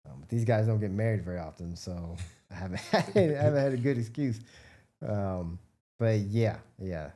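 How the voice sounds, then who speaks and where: A man's voice: lowish voice, animated, normal speed, dark tone. An American man answering interview questions in a casual, rambling, informal way as a guest. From United States, Midwest. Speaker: interview guest, biomedical researcher and entrepreneur.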